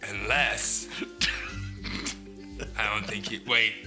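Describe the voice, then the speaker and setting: A man's voice: on the low side, fairly even intonation, drawn-out words. A man doing silly comic read-aloud banter, goofy and mock-serious, drawing out his phrases. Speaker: comedian co-reading children's books. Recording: music clearly behind.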